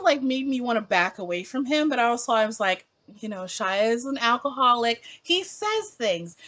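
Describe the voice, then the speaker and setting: A woman in her late twenties talks straight to camera in an opinion vlog. Her voice is bright and a bit high, and it leaps up and down in pitch. She is emphatic and peppers everything with "like.". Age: 20s. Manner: animated, emphatic, heavy use of "like". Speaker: book vlogger.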